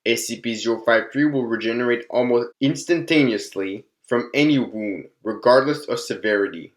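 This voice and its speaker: A man narrating scripted case files in a formal, measured way. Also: mid-range voice.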